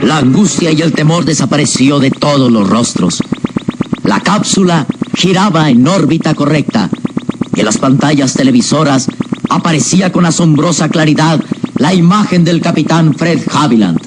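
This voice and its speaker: A man's voice: fairly high pitch, animated, normal speed, over music. A man giving grave, emphatic scripted narration for a radio drama. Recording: very noisy old radio drama recording.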